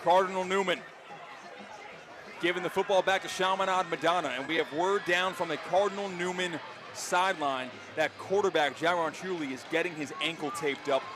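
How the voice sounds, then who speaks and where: A man calling live high school football as a radio play-by-play announcer, projecting with emphatic, excitable delivery. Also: normal speed. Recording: stadium crowd noise behind broadcast commentary. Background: loud outdoor stadium crowd and ambience.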